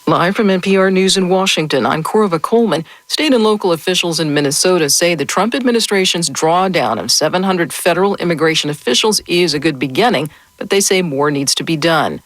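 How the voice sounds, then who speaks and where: A woman with an American accent reading a scripted radio newscast in a measured, formal way. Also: on the low side, animated, no room sound. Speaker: news anchor.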